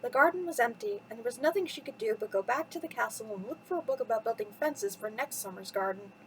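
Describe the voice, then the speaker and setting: A woman's voice: a little high, lively intonation, quick pace, a little hiss or hum, low-quality recording. A woman in her twenties speaking as an upbeat host reading bedtime stories to young children. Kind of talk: cheerful storytime talk and expressive story reading.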